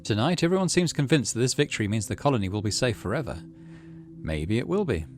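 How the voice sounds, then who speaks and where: A man hosting a gaming video, casually musing to himself in a low-key way and reading story text aloud as he plays. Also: very animated, fast talker, slight background noise.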